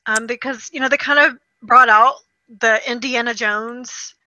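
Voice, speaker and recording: A woman with a slightly high, very bright and forceful voice talks casually as a co-host. She is conversational and opinionated, and the recording is dry and close. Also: steady tone, unhurried, dry recording, rough recording. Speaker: podcast co-host. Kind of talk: casual chat and commentary.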